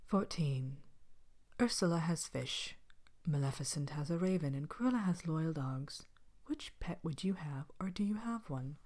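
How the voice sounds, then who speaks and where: A woman playing a vain, haughty evil queen from a fairy tale, speaking softly and often whispering in an ASMR character monologue. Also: sits low, lively intonation, a little slow. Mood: wickedly chatty. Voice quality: soft-spoken, often breaking into whisper. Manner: haughty, languid, dryly wicked. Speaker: character host of an ASMR show.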